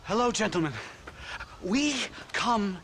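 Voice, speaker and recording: An actor playing an agitated, pompous scholarly professor in a video game, stammering through theatrical old-fashioned phrases. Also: male voice, high voice, expressive, takes it slowly, noisy background, exaggerated voice. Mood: agitated, excitable. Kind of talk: video game cutscene acting.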